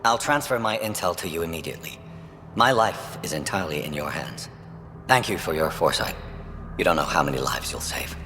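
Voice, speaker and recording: An actor playing a proud, calculating alien soldier and traitor in a video game, arguing with grandiose persuasion. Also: male voice, low-pitched, lively intonation, a little fast, long reverb tail, loud music behind the voice. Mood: solemn. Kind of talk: dramatic video game dialogue.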